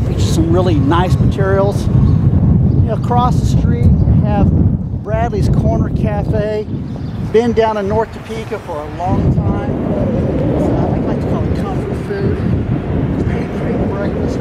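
A middle-aged man speaks in a notably high register as he guides an informal walking tour. His phrasing is halting, often feeling its way through lists. He is recorded outdoors on a street, with clear traffic noise around his voice. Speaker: arts center director giving a walking tour.

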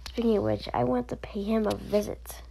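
A woman doing amateur voice acting, playing all the characters of an animated story herself. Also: medium pitch, a little fast, creaky, over loud music, low-quality recording.

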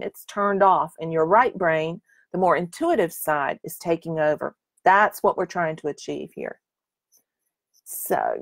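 A woman's voice: mid-range voice, dry recording. A woman, teaching painting on a live stream and answering viewers' questions by name in a chatty, encouraging way. Her voice is a little worn, as if she is losing it. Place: small room with curtained window, indoors.

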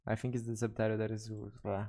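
A man's voice: on the low side, level tone, fast talker, mellow. A young man from Brazil with a Brazilian Portuguese accent, a native Portuguese speaker, chatting casually with viewers on a live stream from his room, speaking English hesitantly and often correcting himself. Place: bedroom streaming setup indoors. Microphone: studio mic on desk with headphones.

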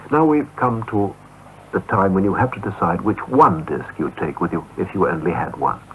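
A man hosting a radio interview in a brisk, polite manner, heard through a tinny off-air cassette recording. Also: low-pitched, animated, slight background noise.

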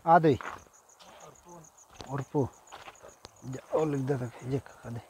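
A man's voice: medium pitch, takes it slowly, darkish tone, slight background noise. A man, chatting casually to camera as a vlogger, repeating himself and often exclaiming. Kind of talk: casual vlog narration to camera. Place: outdoors by a jungle river in the rain.

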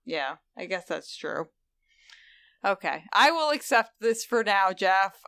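Woman, podcast co-host, chatty, smooth clear voice, dry close mic. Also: mid-range voice, big pitch swings, slow, fairly bright tone, no echo. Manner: chatty, laughs easily. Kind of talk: casual book-club chat.

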